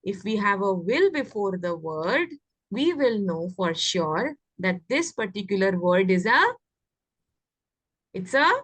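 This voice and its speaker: A woman's voice: mid-range voice, big pitch swings, takes it slowly, fairly bright tone, no room sound. A woman with an Indian accent teaching children English grammar, explaining and often asking questions to check understanding. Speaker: teacher of an online class.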